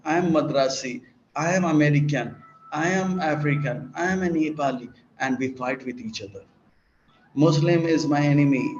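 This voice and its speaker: A man's voice: a little high, slight background noise. A man giving a sermon, preaching in repetitive, list-like emphatic phrases and sometimes acting out dialogue. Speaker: monk and preacher. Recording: roomy, dull lecture recording.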